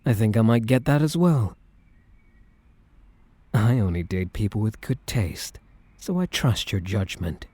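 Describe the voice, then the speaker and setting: Man acting as a mellow, low, teasing boyfriend. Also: lively intonation, a little slow, pauses often, breathy edge, no echo. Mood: warm, suave. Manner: soft-spoken, teasing, wry, casual. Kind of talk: boyfriend ASMR roleplay, intimate scripted acting.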